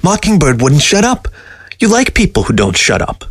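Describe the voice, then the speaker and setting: A sly storybook fox acted out by a man, high-pitched and taunting. It needles its listener with folksy, sardonic teasing, in a dry, close recording. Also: wildly swooping pitch, moderate pace, slightly rough, no room sound, exaggerated voice. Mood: exasperated. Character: sardonic, taunting dead fox, talking to its taxidermist.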